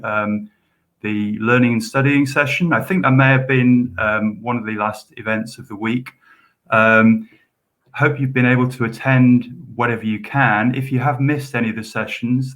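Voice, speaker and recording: A man's voice: mid-range voice, a bit bright. A man, a university student support officer, hosting a live Q&A session with frequent ums and restarts. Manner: rambling, hesitant, with many ums and repeated hopes.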